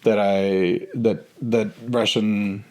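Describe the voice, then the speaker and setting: A man in his thirties telling a personal story in a relaxed, hesitant way, full of ums and restarts. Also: on the low side, very slow. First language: English. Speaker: vlogger.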